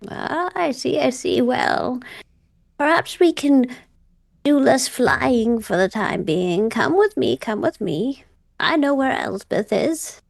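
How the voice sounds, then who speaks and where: A woman voicing a genteel, soothing lady in a tabletop game, with measured, coddling phrasing. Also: sits high, expressive, vocal fry, no echo, pitched above the performer's own voice, exaggerated voice. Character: genteel, soothing lady named Betty, gently coaxing.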